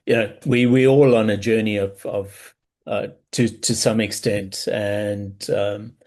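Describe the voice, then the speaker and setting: Low flat South African man. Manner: thoughtful, halting, many repeated words. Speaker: business coach guest. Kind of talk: reflective interview answers.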